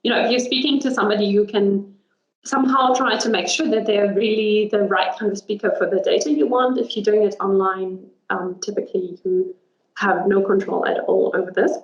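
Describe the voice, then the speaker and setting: A woman's voice: mid-range voice, fairly even intonation, a little fast. A young woman, a linguist whose first language is German, giving a webinar talk with many ums and a frequent "right?". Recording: roomy, dull video call audio.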